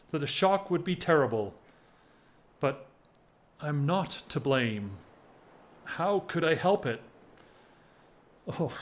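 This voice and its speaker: An actor playing a weary, lovelorn upper-class gentleman in a period audio drama, speaking with formal, wistful wryness. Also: a little high. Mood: resigned, regretful. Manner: formal, wistful, wry, measured speech. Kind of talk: stage-style period radio drama acting.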